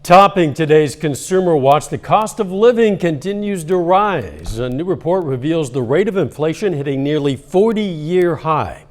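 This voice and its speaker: A man reading a local evening newscast as anchor, in a steady, measured delivery with a slightly strained voice. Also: fairly high pitch. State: losing his voice, coughing at times.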